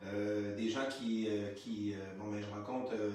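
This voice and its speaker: A man's voice: lowish voice, level tone, a little slow, darkish tone. A man in his fifties with a Québécois accent, a painter chatting live and hesitant, with many fillers and repeated words. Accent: Québécois French. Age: 50s. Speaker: painter, featured artist. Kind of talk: casual live conversation. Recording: echoey room, distant mic, faint noise.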